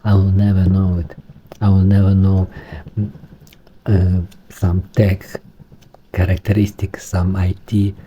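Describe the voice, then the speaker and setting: A man with a Romanian accent, speaking English as a second language, talking through a computer setup in a halting way with many restarts. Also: sits low, monotone, warm tone, highs cut off, slight background noise. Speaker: tech vlogger. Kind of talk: screen-recorded tutorial.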